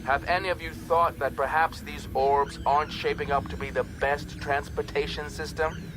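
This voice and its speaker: Man playing a strained, wary space captain, raised pitch. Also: steady tone, moderate pace, background noise, natural voice. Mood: strained, skeptical. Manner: questioning, effortful. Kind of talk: audiobook character acting.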